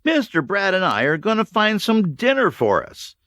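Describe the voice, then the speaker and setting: A man voicing a tired, determined woman who leads a group of children through a ruined city, speaking in steady, encouraging commands. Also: high voice, moderate pace, no echo, clean studio sound, pitched above the performer's own voice, exaggerated voice.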